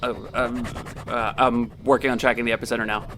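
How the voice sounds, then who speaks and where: A man's voice: mid-range voice, level tone, brisk, background noise, natural voice. An actor playing an easygoing male technician in an audio drama, talking casually and a little hesitantly. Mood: focused, puzzled.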